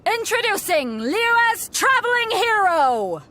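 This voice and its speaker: A woman's voice: extremely high pitch, big pitch swings, slow pace, bright, forceful tone, heavily acted. An actress playing a bold, commanding female ship captain in a video game, with a showy announcer's delivery. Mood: proud, rousing. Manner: declamatory announcer calls, exasperated asides.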